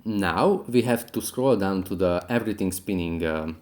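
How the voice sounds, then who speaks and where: A man's voice: low-pitched, normal speed, darkish tone. A man hosting an app tutorial, giving scripted step-by-step instructions. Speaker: tutorial host.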